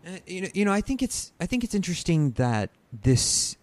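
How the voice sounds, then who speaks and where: A man hosting a podcast, asking questions in a halting way with many restarts. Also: high voice, sing-song. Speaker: podcast host. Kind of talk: interview questions.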